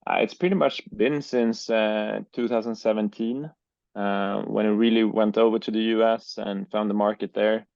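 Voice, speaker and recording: Gravelly man, hesitant. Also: on the low side, fairly even intonation, normal speed, darkish tone, dry recording. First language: Swedish. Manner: hesitant, many uh and um, repeats words. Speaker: guest, business owner. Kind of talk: interview answers. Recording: dry, dull lo-fi sound.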